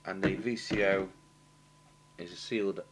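A British man with a low voice talks through a hands-on technical job. He is matter-of-fact and halting, thinking aloud as he goes. A faint noise and hum sit behind him. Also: steady tone. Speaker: radio repair technician demonstrating. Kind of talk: unscripted technical demonstration commentary. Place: indoor workshop at a bench. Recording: clear background noise and hum.

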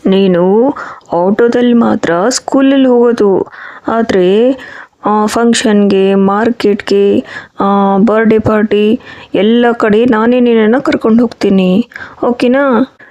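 A woman voicing a patient, soothing father in a children's cartoon story, speaking in a gentle, explanatory, coaxing way. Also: on the high side, steady tone, slow, long pauses, highs cut off. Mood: reassuring.

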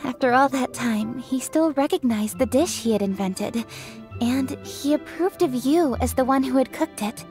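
Brief: courteous young noblewoman, high, clear voice, faint music behind. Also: lively intonation, moderate pace, a little mellow. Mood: respectful. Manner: polite, composed, formal. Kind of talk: video game voice acting.

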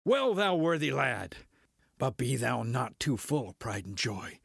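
An actor playing a cocky, boastful starship pilot, swaggering through archaic verse in an audio drama. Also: male voice, mid-range voice, big pitch swings, unhurried, creaky, slightly rough, dry recording, studio quality, heavily acted. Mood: bracing.

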